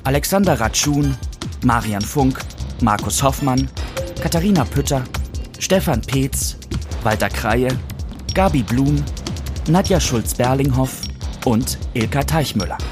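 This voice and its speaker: A man's voice: mid-range voice, lively intonation, a little slow, long pauses. A man reading a scripted outro announcement as the announcer of a fiction podcast. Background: clear music bed.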